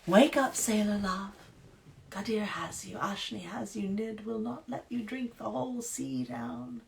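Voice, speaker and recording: A woman's voice: mid-range voice, expressive, a little slow, gravelly, distant mic, slight background noise, pitched above the performer's own voice. A woman voicing a chorus of sea creatures, coaxing a sleeper awake in a sing-song way.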